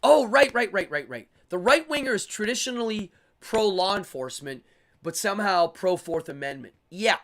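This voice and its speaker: A man hosting a live stream, talking casually and opinionatedly as he answers chat, rambling and repeating words in quick bursts. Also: high-pitched, animated, fairly bright tone. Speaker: live-stream show host.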